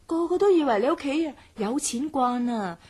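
A woman playing an outspoken, loyal young student in a radio drama, expressive and exclamatory. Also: high-pitched, normal speed. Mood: chatty, confiding.

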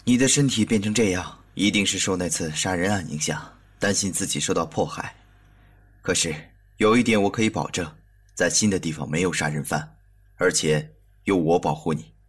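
Radio drama husband, male actor: young, deep-voiced, gentle and intimate, with a possessive, obsessive edge. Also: normal speed, husky. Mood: reassuring. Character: soft-spoken, obsessive young husband fixated on dolls. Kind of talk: Mandarin audio drama acting.

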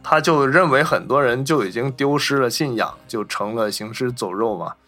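Guy hosting a film podcast, bright forward voice, tells stories casually, bit of music behind. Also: medium pitch, expressive, normal speed. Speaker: podcast host. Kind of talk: casual film discussion, telling behind-the-scenes anecdotes.

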